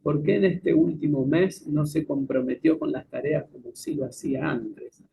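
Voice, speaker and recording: A man with a Rioplatense Spanish accent speaks as a psychiatrist guest, explaining ideas in a lively, teacherly manner. His voice sits fairly high and has a gravelly, creaky edge. A faint hiss lies under his speech. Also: normal speed. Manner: lively, teacherly, asks and answers his own questions. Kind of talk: explanatory interview talk.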